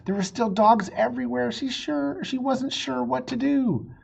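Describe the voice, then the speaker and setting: A man reading a children's story aloud in a playful, expressive way, with a husky voice. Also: very high voice, normal speed, lo-fi sound. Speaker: storybook reader.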